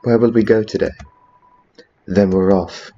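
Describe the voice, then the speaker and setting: A man's voice: lowish voice, fairly even intonation, a little slow, dark tone, lo-fi sound. A man voicing a cryptic, placid river ferry spirit in a video game fan dub, terse and singsong.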